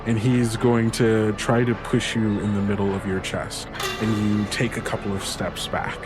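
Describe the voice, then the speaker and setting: A man's voice: on the low side, level tone, moderate pace. A man working as game master, telling a tabletop story in descriptive, theatrical narration over music. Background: music under the voice.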